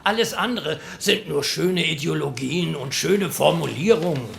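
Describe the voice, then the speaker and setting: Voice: medium pitch, normal speed, vocal fry, slight background noise. A lecturing committee member. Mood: didactic. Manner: lecturing, lays out a worked example step by step. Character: postwar committee member explaining the vote, didactic. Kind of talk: radio drama, committee debate.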